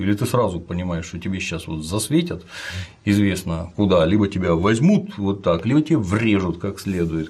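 A man's voice: low-pitched, darkish tone, slightly rough. A man interviewing a guest about history, speaking emphatically with sarcastic asides.

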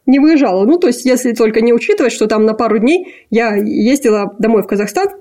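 A young woman from Kazakhstan with a mellow, slightly raised voice. She talks in a halting, thoughtful way, full of pauses and fillers, while answering an interviewer. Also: fairly high pitch, animated. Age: young adult. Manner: hesitant, many fillers and pauses. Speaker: interviewee, PhD student. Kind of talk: casual conversation.

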